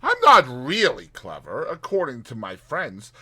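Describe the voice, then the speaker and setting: A man voicing a puffed-up, boastful toad gentleman in a storybook, with swaggering, theatrical emphasis. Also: mid-range voice, huge pitch swings, measured pace, heavily acted. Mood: smug boasting.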